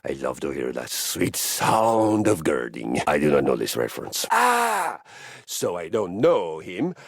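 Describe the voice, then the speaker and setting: Gravelly, theatrical warrior fitness coach. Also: male voice, medium pitch, a bit bright, no room sound, clean studio sound, full character voice. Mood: earnest, musing. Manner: grandiose, formal, theatrical, earnest. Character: personified treadmill, exuberant warrior fitness coach who loves violence. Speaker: workout coach. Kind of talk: video game character acting.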